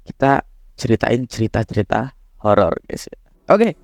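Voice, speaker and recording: A young man, hoarse and nasal from a cold, chatting casually to viewers as he tells a horror story. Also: mid-range voice. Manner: chatty, addresses viewers as guys. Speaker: horror storyteller, channel host.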